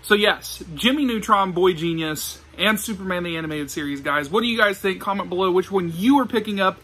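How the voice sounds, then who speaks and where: A man's voice: high-pitched, fairly quick, bright, forceful tone, husky. A young man hosting a casual vlog, talking to the camera like an enthusiastic fan. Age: young adult. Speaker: video host. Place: small indoor room with shelves of discs.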